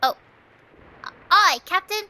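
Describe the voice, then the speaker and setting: A woman's voice: extremely high pitch, expressive, takes it slowly, bright, forceful tone, no room sound, exaggerated voice. A woman playing an eager, scrappy little street girl in an audio drama, wide-eyed and hesitant. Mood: timid, grateful.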